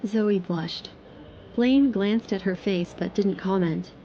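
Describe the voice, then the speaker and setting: A woman's voice: medium pitch, slow, mellow, lo-fi sound, a little hiss or hum. A woman narrator reading a scripted third-person romance story for an audio drama.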